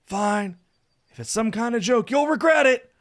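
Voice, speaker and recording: A man's voice: high voice, animated, moderate pace, voice pushed up. A man voicing an affectionate, playful and pouty young male wizard in a visual novel, with a theatrically emotional delivery. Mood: pouty, indignant. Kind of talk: visual novel character reading.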